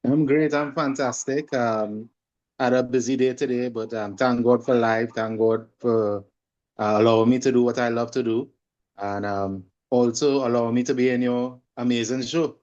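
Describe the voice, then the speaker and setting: Man, Trinidadian accent, medium pitch, reflective, halting conversational delivery, interview guest, slightly dull lo-fi sound. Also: steady tone, darkish tone. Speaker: guest, singer and holistic coach.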